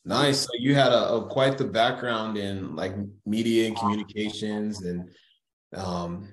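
A man hosting a podcast who asks his questions in a relaxed, hesitant way full of fillers. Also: lowish voice, unhurried, a little hiss or hum. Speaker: podcast host. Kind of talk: casual interview. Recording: echoey room.

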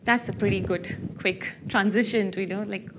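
A woman's voice: mid-range voice, fairly even intonation, normal speed, noisy background. A woman hosting a small-business podcast, introducing her guest and asking friendly, encouraging questions. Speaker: podcast host. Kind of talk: podcast interview.

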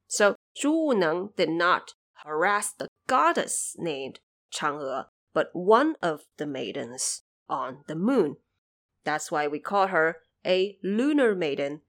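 A woman hosting a podcast, giving explanatory, lightly scripted commentary in a measured, didactic way with emphatic phrasing. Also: low-pitched. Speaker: podcast host explaining background of an audio drama series.

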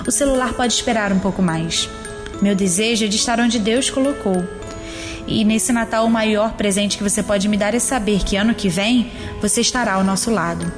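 A woman playing an affectionate, wistful, gently teasing young granddaughter with a Brazilian accent in a scripted audio drama monologue. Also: mid-range voice, normal speed, music in the background, close to an everyday voice. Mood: tender, wistful.

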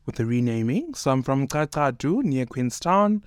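A young man with a South African accent, a high school teacher speaking earnestly as a podcast guest, peppering his points with "you know". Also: very animated, moderate pace, smooth, dry recording, clean studio sound. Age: young adult. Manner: earnest, opinionated, many fillers like "you know". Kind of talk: casual podcast conversation.

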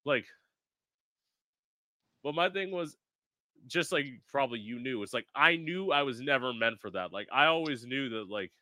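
Male host, sharp bright tone, frank and profane, dry close-miked read. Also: mid-range voice, expressive, moderate pace, pauses often, no room sound. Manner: frank and brassy, swears freely. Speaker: interview host. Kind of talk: casual interview chat.

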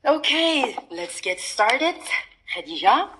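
A woman's voice: a little high, big pitch swings, slow, sharp tone, a little hiss or hum. A young adult Belgian woman with a Belgian accent, hosting an interview podcast, speaking hesitantly with many fillers and encouraging prompts. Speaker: podcast host.

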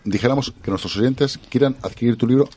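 A man's voice: medium pitch, fairly quick, darkish tone, slight background noise. A man hosting a radio health show, asking questions in long rambling sentences that he keeps restarting. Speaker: radio host. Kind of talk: radio interview.

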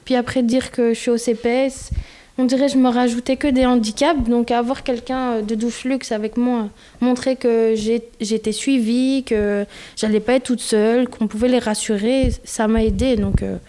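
A young woman from Belgium speaks in a casual conversation, her voice fairly high but mellow and soft. She talks candidly, pausing often with fillers as she gathers her thoughts. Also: fairly even intonation. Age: 20s. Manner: candid, hesitant, with many fillers. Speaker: guest.

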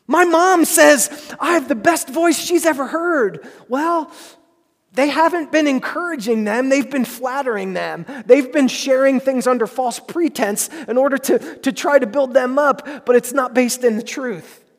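A man's voice: very high voice, measured pace. A man preaching a sermon as pastor, earnest and emphatic, repeating phrases for stress. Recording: preached in a hall with long reverb.

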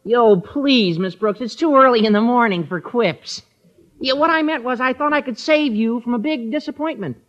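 An actor playing an eager, gabby teenage high school boy in old radio comedy, youthful and earnest. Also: moderate pace. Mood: excitable. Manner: earnest, excitable, chatty. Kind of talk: 1940s-50s radio comedy acting. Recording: muffled old radio broadcast recording.

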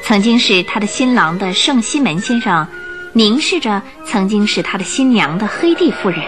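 A woman giving expressive, theatrical storytelling narration for a radio drama. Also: medium pitch, normal speed, over music.

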